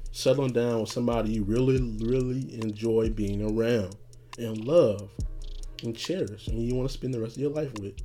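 Laid-back rambling man, raspy podcast host. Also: mid-range voice, warm tone, slight background noise. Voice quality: getting raspy. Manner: rambling, laid-back, slangy, many "you know what I'm saying" fillers. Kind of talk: casual solo podcast monologue.

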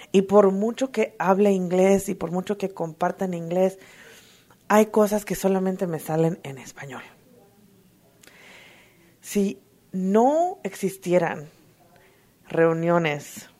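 A woman giving an emotional, earnest personal testimony at a recovery meeting, halting often to restart her sentences. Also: medium pitch, mellow. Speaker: twelve-step fellowship member sharing.

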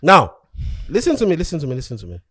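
A man's voice: on the high side, huge pitch swings, fast talker, a bit bright, breathy edge, no echo, studio quality. A man hosting a podcast debate, talking emphatically and repeating himself to drive points home. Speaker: podcast host.

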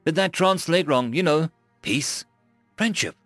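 Brief: man reading as an eager human ship captain, chatty and a bit flustered, lively pitch, dry close sound. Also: unhurried, dry recording, faint music behind. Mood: earnest, friendly. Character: friendly male human starship captain.

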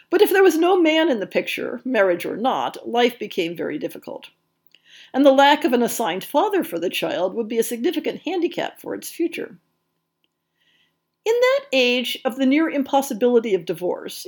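A woman with a fairly high voice narrates a scripted history piece as a podcast host. Her intonation is very animated, rising and dipping across phrases, while her delivery stays measured and careful, like prepared storytelling.

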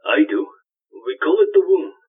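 A man's voice: sits high, lively intonation, moderate pace, husky. A man voicing an earnest, devout and self-important robotic ghost companion, speaking in a solemn, preachy way through a radio-like filter. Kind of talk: audio drama dialogue. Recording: muffled radio-like sound, distant, music.

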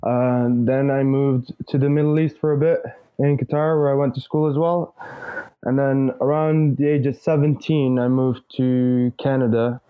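A man's voice: mid-range voice, little pitch movement, normal speed, smooth, phone-quality sound. A man in his twenties from Egypt, a rugby player, chatting casually as a podcast guest, laughing often and using many fillers. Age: 20s.

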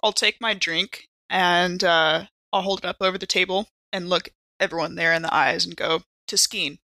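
Female tabletop player, bright voice, wry casual role-play, dry close recording. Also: fairly low pitch, steady tone, moderate pace, dry recording.

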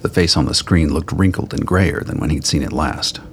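A man narrating a scripted radio play in a measured, literary storytelling manner. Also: very deep voice, gravelly, slight background noise.

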